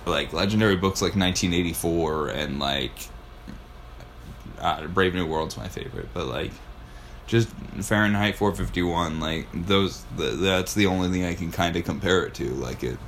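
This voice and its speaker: A man's voice: sits low, moderate pace, fairly bright tone, slight background noise. A man hosting a casual podcast, talking in a rambling, enthusiastic, sweary way. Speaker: podcast host.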